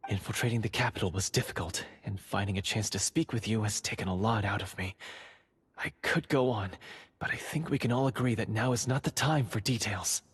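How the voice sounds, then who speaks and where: An actor playing a secretive male dungeon guard who is a covert ally, speaking in a hushed, urgent, earnest way. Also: fairly low pitch, brisk, husky. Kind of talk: game voice acting.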